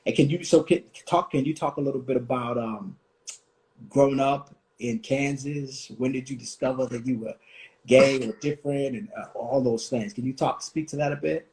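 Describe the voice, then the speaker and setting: A man in his forties from Washington, D.C., hosting a reflective conversation, thoughtful and full of pauses and restarts. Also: mid-range voice, darkish tone, poor audio. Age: 40s. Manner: thoughtful, many fillers and restarts. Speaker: host.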